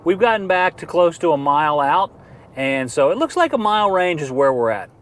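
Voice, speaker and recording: A man's voice: a little high, very animated, brisk, a little hiss or hum. A middle-aged man hosting a casual outdoor gear review in an informal, enthusiastic way. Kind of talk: casual product review vlog.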